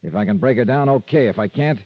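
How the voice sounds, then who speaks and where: An American actor playing a tough, wry insurance investigator in 1950s radio drama, clipped and sardonic. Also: male voice, mid-range voice, animated, quick pace, husky. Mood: firm, suspicious. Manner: hard-boiled, clipped, sardonic. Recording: dull old radio recording with music.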